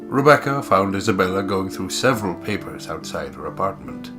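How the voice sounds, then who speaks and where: A man putting on a solemn, measured narrator voice to read formal diary entries in a game. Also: deep voice, expressive, over music.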